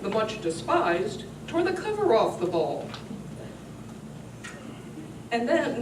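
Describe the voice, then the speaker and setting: A woman recites verse theatrically, with a highish voice that moves in animated swoops. Her delivery is emphatic and deliberate. She is picked up from a distance in a noisy, echoey room. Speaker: performer giving a dramatic reading. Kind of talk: dramatic live poetry recitation. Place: roomy indoor venue, recorded at a distance.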